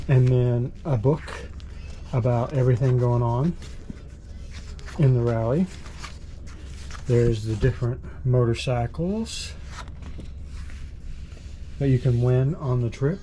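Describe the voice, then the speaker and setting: A middle-aged man casually showing his cruise ship around, talking to a camera he holds at arm's length. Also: mid-range voice. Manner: easygoing, showing things around as he walks. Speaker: vlogger. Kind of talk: casual vlog walkthrough. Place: cruise ship, cabin and open decks. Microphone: action camera held at arm's length. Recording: noisy crowded ship deck. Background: loud crowd and ship noise.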